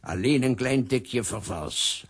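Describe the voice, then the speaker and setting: An actor playing a scheming, crooked company director in a children's radio play, sly and condescending. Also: male voice, mid-range voice, expressive, slow, slightly rough.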